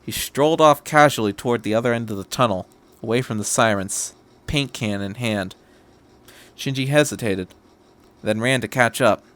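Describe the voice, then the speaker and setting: Man narrating a story, medium pitch. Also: normal speed, a bit bright. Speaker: podcast narrator. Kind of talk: scripted story narration, reading fan fiction.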